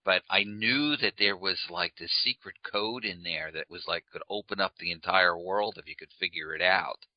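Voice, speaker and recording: A man hosting an interview in a relaxed way, with rambling asides and a few slips. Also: low voice, moderate pace, dry recording, highs cut off. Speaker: interview host. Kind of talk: casual interview chat.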